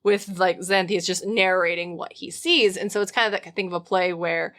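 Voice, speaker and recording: A woman hosting a podcast in casual, comedic chat, animated and rambling with slangy asides. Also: lowish voice, moderate pace. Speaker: podcast host.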